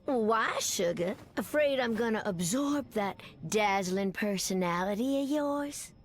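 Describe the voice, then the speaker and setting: An actress playing a sassy, confident Southern American mutant superheroine, trading folksy, drawling banter in a video game. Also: female voice, medium pitch, expressive, measured pace, soft background music. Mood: playful, sassy. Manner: drawling folksy banter, calls people sugar. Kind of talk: video game character dialogue.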